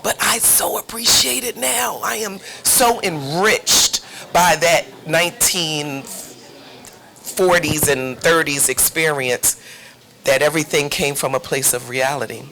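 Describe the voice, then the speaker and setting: A woman's voice: low voice, a little slow, vocal fry, pushed and bright, poor audio. A woman guest, a playwright and producer, talking casually and from the heart in a panel interview, stressing points by repeating them.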